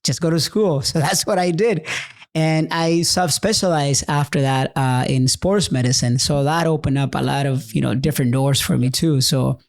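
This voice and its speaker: A man's voice: on the high side, fairly even intonation, moderate pace, creaky. Middle-aged man, from el salvador, chiropractor guest, rambling casual talk, laughs often. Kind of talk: casual conversation.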